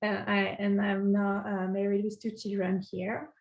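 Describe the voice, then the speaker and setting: A middle-aged woman from France with a French accent, introducing herself informally and haltingly in an online meeting. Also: medium pitch, little pitch movement. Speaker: city program manager and presenter.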